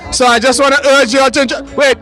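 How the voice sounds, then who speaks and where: A man's voice: extremely high pitch, little pitch movement, fast talker, sharp tone, faint music behind, a faint crowd. A man hosting chatty, joking interviews at an outdoor festival. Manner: chatty, jokey, many restarts. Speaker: roving festival host. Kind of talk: upbeat on-location interviewing.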